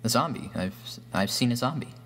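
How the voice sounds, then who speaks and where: A man voicing a lonely, clever young schoolboy, earnest and halting, in a narrated horror story. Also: medium pitch, expressive, fairly bright tone, pitched above the performer's own voice.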